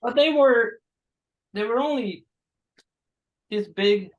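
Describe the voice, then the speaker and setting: A man acting an awkward, apologetic host to a stranger in a staged play reading, halting and understated, on a dull recording. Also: very high-pitched. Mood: shocked, apologetic.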